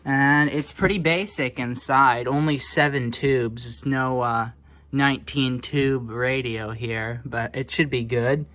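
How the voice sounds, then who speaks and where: A man's voice: medium pitch, fairly even intonation, takes it slowly, a bit bright. A man giving a casual, unscripted show-and-tell as a hobbyist presenter, thinking aloud in an offhand, hesitant way. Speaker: hobbyist presenter showing vintage electronics.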